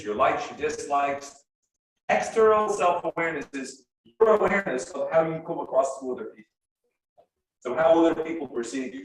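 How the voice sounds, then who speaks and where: A man's voice: a little high, far from the mic. Irish man training in an echoey room. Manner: energetic, explains step by step, trails off softly. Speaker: sales trainer. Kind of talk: live training talk. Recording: roomy echo, speaker off-mic.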